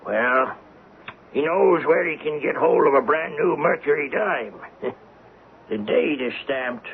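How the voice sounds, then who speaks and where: A man acting in a 1970s radio drama as an affluent, polite, excitable coin collector, speaking genteelly with frequent hems and haws. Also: fairly high pitch, slow, creaky, full character voice. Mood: fascinated, explaining. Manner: hemming, chuckling, genteel speech. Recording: noisy, phone-like old radio recording.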